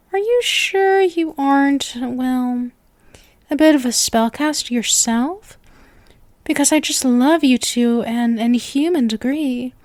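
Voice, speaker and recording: Woman acting a sweet, clingy, high-voiced yandere witch. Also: no echo, studio quality. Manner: coy, nervous, gushing, intimate. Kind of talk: ASMR roleplay, scripted acting addressed to listener.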